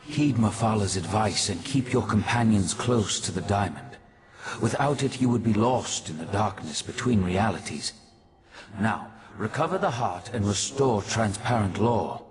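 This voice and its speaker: An actor voicing an authoritative male sage in a video game, giving grave, formal, measured instructions. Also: on the low side, lively intonation. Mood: grave, instructive. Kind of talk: video game character acting. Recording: long hall reverb.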